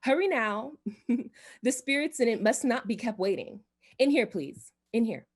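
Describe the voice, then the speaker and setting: A woman's voice: a little high, animated, unhurried. A woman playing a gushing, self-important society hostess and would-be medium in a radio drama, speaking in a grand, theatrical manner. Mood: grand, commanding.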